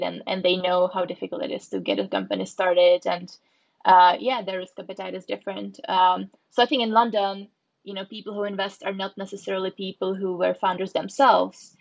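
A woman answers interview questions, pausing on frequent fillers as she thinks. Her delivery stays fairly level, with little rise and fall. The audio is dull and muffled, like a lo-fi recording. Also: fairly low pitch, creaky. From Czech Republic. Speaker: startup founder guest.

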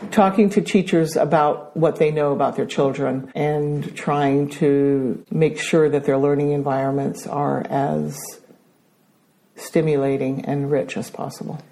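A middle-aged woman with an American accent, a school principal answering interview questions with many hesitations. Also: deep voice. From Brazil. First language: Danish. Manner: hesitant, many ums, warm about her work.

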